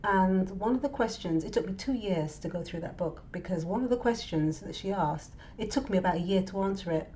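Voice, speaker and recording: A woman's voice: medium pitch, a little fast, hardly any pauses, warm tone. A woman hosts a conversational interview and questions her guest in a chatty way. She keeps the talk going with short affirming sounds. A faint background noise sits behind her voice. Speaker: interview host.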